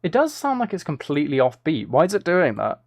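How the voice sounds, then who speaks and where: A man's voice: fairly high pitch, huge pitch swings, a little fast, gravelly. A man streaming casually while making music, thinking aloud to himself and often trailing off mid-thought. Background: synth and drum loops from music software, clicking.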